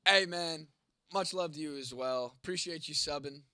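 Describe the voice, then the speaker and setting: A man's voice: a little high, normal speed, sharp tone, smooth, dry recording, clean studio sound. Congested young man streaming casually. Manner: laid-back, swears casually. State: congested, losing his voice, coughing. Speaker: livestream host. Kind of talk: casual livestream chat, reading viewer comments.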